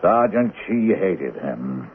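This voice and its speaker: A regretful, halting man with a very low voice. This is 1970s radio drama acting, heard on a thin, tinny recording with faint noise. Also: sing-song, full character voice. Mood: regretful, uneasy. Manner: hesitant, halting. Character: regretful, hesitant man giving testimony to police.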